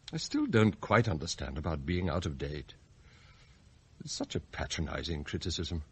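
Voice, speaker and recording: Pompous English butler, wildly swooping delivery. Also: male voice, deep voice, slightly rough, heavily acted. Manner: formal, ornate, theatrical phrasing. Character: proud plotting butler, pompous, bitter, passionate idealist. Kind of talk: radio drama acting, monologue and dialogue.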